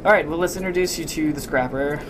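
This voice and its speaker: A man giving a casual, hesitant software demo walkthrough as a game sound designer, thinking aloud as he goes. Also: fairly high pitch, fast. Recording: loud music and effects behind voice. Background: loud music and game sound effects.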